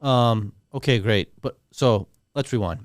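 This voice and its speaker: A man's voice: mid-range voice, measured pace, dry recording, studio quality. A man hosting a podcast who asks questions in a casual, chatty way and trades his own stories with his guest. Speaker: podcast host. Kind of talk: casual interview chat.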